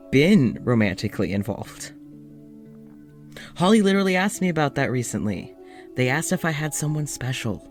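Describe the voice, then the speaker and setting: A man's voice: medium pitch, lightly acted. An actor playing a hesitant, sleepless lonely man. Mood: introspective. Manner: hesitant, stammering, self-doubting, sighing. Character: lonely, anxious, sleepless man recording a journal. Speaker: audio journal keeper. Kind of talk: audio drama monologue.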